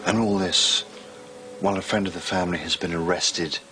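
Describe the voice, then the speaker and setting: A man's voice: low-pitched, steady tone, normal speed, over music, natural voice. An actor playing a stern, reproachful husband and grieving father, speaking with pointed control in a drama. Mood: accusing. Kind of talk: screen drama acting.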